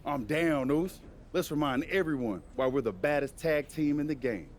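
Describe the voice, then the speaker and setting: High-voiced defiant male tag-team wrestler, acted by a man. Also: normal speed, a little hiss or hum, natural voice. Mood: casual, wary. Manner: slangy, emphatic, rallying in short phrases. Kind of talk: wrestling promo and video game cutscene acting.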